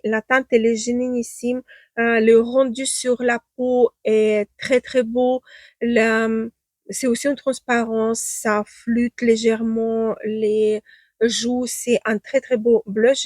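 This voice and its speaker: Woman, non-native speaker, makeup vlog, slow unhurried talk, fairly high voice, dry close sound, lots of fillers. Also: dry recording. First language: not French. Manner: hesitant, many fillers and restarts; enthusiastic superlatives. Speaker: beauty vlogger. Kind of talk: casual makeup review to camera. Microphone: own microphone, at times off.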